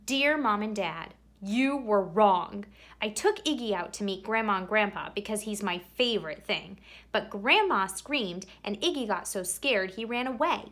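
A woman reads a children's story aloud in a highly animated voice of middling pitch. She leans on key words and stretches them out for theatrical effect, as a storyteller does for young listeners. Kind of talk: expressive children's picture book read-aloud.

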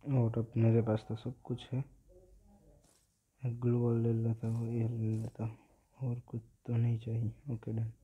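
A man's voice: mid-range voice, monotone, measured pace, long pauses, slightly rough, narrow, tinny audio. A young man with an Indian accent giving casual mobile game commentary, often repeating short phrases. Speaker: gaming video host.

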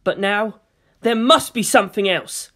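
A woman's voice: medium pitch, unhurried, fairly bright tone, close to an everyday voice. Woman playing an agitated, protesting teenage boy. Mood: wounded disbelief. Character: distressed, argumentative, suspicious teenage boy, reluctant pilot. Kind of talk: dramatic audiobook character reading.

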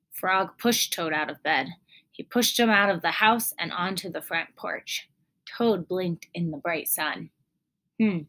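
A young woman reading a children's story aloud in a deliberate manner, as if teaching young children. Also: on the low side, fairly bright tone. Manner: deliberate, clearly phrased for children.

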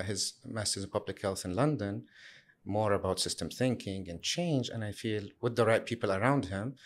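Man, thirties, from Syria, interview guest, casual, filler-heavy, lowish voice. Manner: relaxed, many fillers and restarts. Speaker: guest, sustainability lead.

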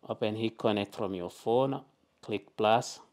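Brief: man, fairly low voice, tutorial host, unhurried plain how-to delivery, recorded indoors at a desk. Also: darkish tone. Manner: plain, deliberate instructions. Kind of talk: step-by-step device tutorial.

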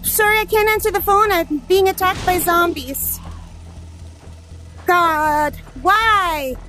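Really high voiced lady gamer, excitable casual commentary, bit of game music behind. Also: slow pace. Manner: excitable, exclaiming, self-deprecating. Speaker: gamer, channel host. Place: indoors at home, playing in a headset. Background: faint game music.

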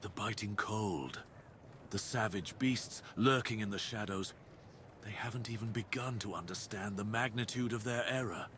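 A man's voice: fairly low pitch, moderate pace, a bit bright, a little hiss or hum, lightly acted. A man voicing a proud, menacing dire wolf beast in an ASMR roleplay, speaking with grave, commanding, theatrical deliberation. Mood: brooding.

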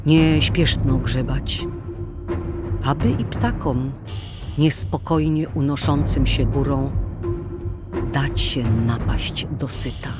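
A female narrator tells an old tale in a solemn, dramatic way. She takes her time, and music with drums plays clearly behind her. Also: a bass voice, slow, pauses often.

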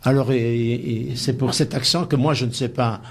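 Italian-born guy, singer, halting and modest on local radio. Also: medium pitch, fairly even intonation, gravelly. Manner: halting, restarts words, modest. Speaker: singer being interviewed. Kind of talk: casual radio interview.

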